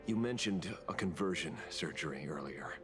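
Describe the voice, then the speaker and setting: A man's voice: rumbling low, expressive, normal speed. An actor voicing a stern male interrogator in a video game, cold and probing, lecturing as he questions people. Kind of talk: game dub dialogue. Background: game music.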